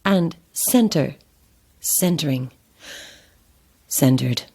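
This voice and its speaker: Audio drama: nervy, self-deprecating actress, unhurried, animated pitch, wry and theatrical. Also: female voice, husky, heavily acted. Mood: wry, self-mocking. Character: anxious, self-deprecating actress hired for an odd job. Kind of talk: audio horror-comedy drama acting.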